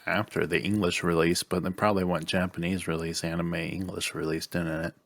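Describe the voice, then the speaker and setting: Low, husky man in casual chat. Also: level tone, brisk, dry recording. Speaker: podcast co-host. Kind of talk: casual chat about video games.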